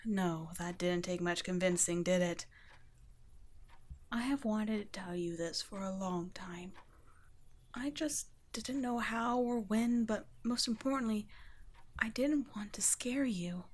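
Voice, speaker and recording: A woman playing a gentle, protective vampire caretaker in an intimate ASMR roleplay, speaking softly and breathily, often nearly whispering. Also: medium pitch, slow pace. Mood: wistful, confiding. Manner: soft, breathy, tender, often dropping to a whisper.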